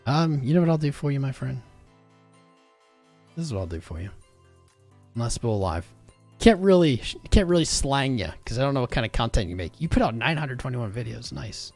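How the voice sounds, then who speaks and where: Casual male streamer, middle pitch, slangy and rambling, voice worn thin, soft music playing in the background. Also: sing-song, a little fast, creaky, pauses often. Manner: rambling, slangy, talks straight to chat. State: losing his voice. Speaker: crypto livestream host. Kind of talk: casual livestream chat.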